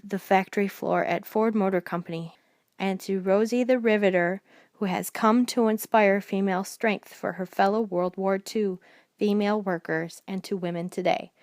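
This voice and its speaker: A woman's voice: mid-range voice, fairly even intonation, moderate pace, no echo, clean studio sound. A woman narrating a scripted documentary, reading aloud and now and then stumbling over a word.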